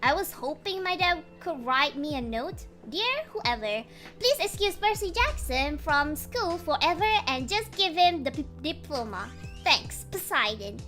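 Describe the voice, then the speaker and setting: A woman reading a novel aloud as a sassy, excitable teen demigod boy, in a small voice. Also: sits high, measured pace, faint music behind, full character voice. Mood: wry.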